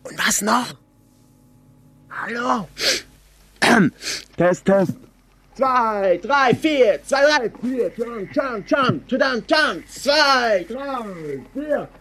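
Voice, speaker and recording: An actor playing a lonely, eager teenage boy who confides haltingly in his home tape recorder, in a radio drama. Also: mid-range voice, animated, a bit bright, slightly rough, heavily acted. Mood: playful, curious. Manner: halting, naive, confiding, testing the microphone. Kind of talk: home tape diary in a fictional radio feature.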